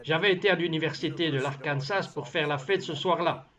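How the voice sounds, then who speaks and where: Man, high voice, voice-over narration, earnest, measured, dull lo-fi sound. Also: a bit bright. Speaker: voice-over translator. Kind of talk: dubbed reading of a personal testimony.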